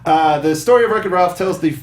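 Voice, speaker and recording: A man's voice: high-pitched, fast. A man hosting a film review show in casual panel chat, talking like an earnest enthusiast.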